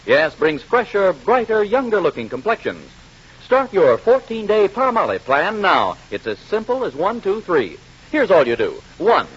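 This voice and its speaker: A male announcer delivers a scripted 1940s radio ad. He is emphatic and persuasive, high and lively in pitch. The old broadcast sounds muffled. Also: moderate pace, slightly rough. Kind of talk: scripted 1940s advertising read.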